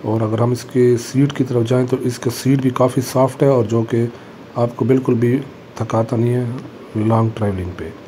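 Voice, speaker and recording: Man calmly reviewing a motorbike. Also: medium pitch, a little hiss or hum. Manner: plain, matter-of-fact, pauses with fillers. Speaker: motorbike vlogger. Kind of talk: casual walkaround bike review. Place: indoor motorbike showroom.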